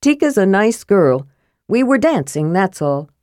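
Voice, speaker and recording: A woman acts out a big, muscular, good-natured twin from a fantasy storybook. He speaks earnestly and protectively, with anxious eagerness and a lively, moving pitch. The sound is close and dry. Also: unhurried, dry recording. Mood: defensive. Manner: cheerful, earnest, apologetic.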